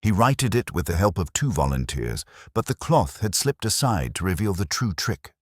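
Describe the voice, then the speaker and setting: Very deep, husky man narrating a mystery. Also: very animated, normal speed, no echo, studio quality. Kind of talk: scripted mystery story narration.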